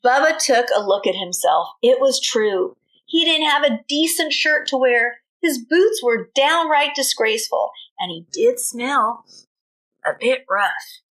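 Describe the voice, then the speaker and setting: High-pitched, animated woman reading to children. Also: low-quality recording. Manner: explanatory, pauses to question the listeners. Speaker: kindergarten teacher reading aloud. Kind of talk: storybook read-aloud for young children.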